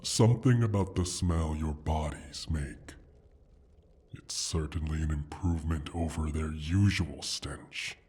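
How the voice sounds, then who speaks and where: A man playing an injured, menacing dragon who guards his gold hoard, speaking in a slow, deliberate, husky voice in an ASMR roleplay. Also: warm tone, full character voice. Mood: musing, threatening. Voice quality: husky, breathy, deep.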